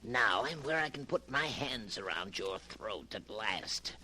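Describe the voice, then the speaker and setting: An actor playing a deranged, tormented convict strangler in old-time radio horror, brooding and obsessive with menacing outbursts. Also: male voice, fairly low pitch, lively intonation, a little slow, pushed and bright, slight background noise, heavily acted. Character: deranged escaped-convict strangler, tormented by his murderous hands.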